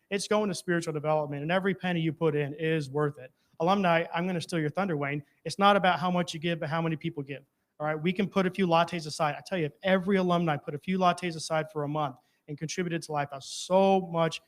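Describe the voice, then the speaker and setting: A man's voice: a little high, steady tone, fast. A man giving an informal, chatty testimonial as a guest before a live audience, mixing joking with earnest appeal. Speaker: guest speaker, alumnus performer of the theater.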